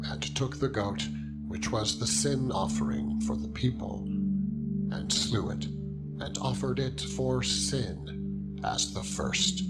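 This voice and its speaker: A man reading scripture as a dramatized narration, in a solemn and measured manner. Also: lowish voice. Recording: music throughout. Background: loud instrumental music.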